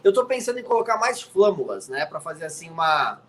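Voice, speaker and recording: A man's voice: a little high, very animated, a little fast, fairly bright tone, a little hiss or hum. A man who grew up in the United States, an NFL commentator chatting as a guest from his home studio, teasing and tagging his sentences with little questions. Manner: chatty and teasing, tags lines with "né?". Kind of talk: casual chat.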